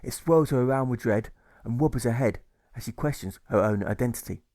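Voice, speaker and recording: A man's voice: medium pitch, a little fast, a little mellow, no room sound, clean studio sound. A man narrating a rhyming audiobook as its author, with a rhythmic delivery that stresses the rhymes. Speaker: author reading his own novel.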